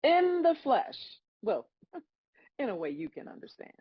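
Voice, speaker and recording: A woman playing God as a wry, patient, bemused celestial judge, explaining things in a measured way at a table read of a comedy. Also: high-pitched, very animated, slightly rough, no room sound, dull audio, heavily acted. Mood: wry, amused.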